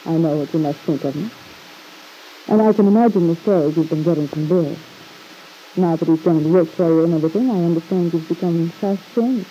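A woman's voice: on the low side, fairly quick, warm tone, close to an everyday voice. An actress playing a flippant, defensive young woman with an arch, ironic manner in an old-time radio soap opera. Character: flippant, defensive young wife and mother, a niece. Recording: muffled hissy old radio recording.

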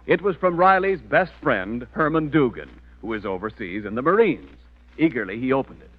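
A man's voice: mid-range voice, big pitch swings, moderate pace. An American man reading scripted narration and sponsor messages as a 1940s radio announcer. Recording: muffled vintage radio recording.

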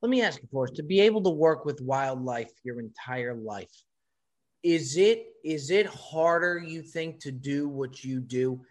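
A man's voice: mid-range voice, animated, a little slow. A man hosting a podcast, talking in an emphatic, earnest and teasing way in casual chat. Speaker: podcast host.

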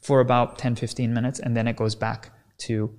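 A man speaking as a kinesiologist guest on a podcast, explaining in an energetic, teaching way and often checking in with "right?". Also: mid-range voice, moderate pace.

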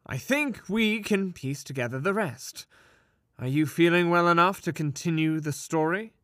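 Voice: mid-range voice, normal speed, fairly bright tone, no room sound, clean studio sound, lightly acted. A performer playing a teasing, sceptical sidekick to a storyteller in comic fantasy banter. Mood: playful.

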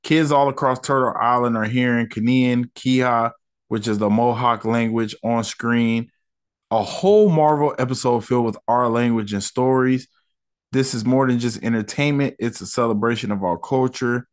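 A man hosts a solo podcast and breaks down an episode in an easygoing, conversational way. His voice sits at an ordinary middle pitch for a man. He leans on slang and plenty of fillers as he talks things through. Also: fairly even intonation, moderate pace. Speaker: podcast host reviewing an episode. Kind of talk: casual solo podcast review.

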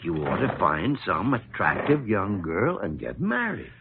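An actor playing a crusty, meddling old senior doctor who blusters and grumbles, in 1940s radio style. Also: male voice, on the low side, wildly swooping pitch, a little slow, phone-quality sound, heavy background noise, full character voice. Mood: coaxing. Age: older. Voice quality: gravelly, raspy. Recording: old radio drama recording.

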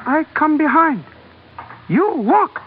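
A man's voice: very high voice, very animated, slow, full character voice. A man playing a menacing knife-carrying palace guard in old radio drama, speaking in clipped, broken pidgin English. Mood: curt menace. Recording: tinny, noisy old radio recording.

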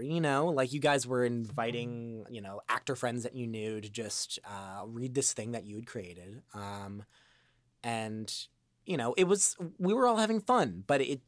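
A man's voice: medium pitch, no echo. A man chatting casually as an interview guest, rambling and informal with a goofy streak. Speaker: voice actor and improv comedian, podcast guest.

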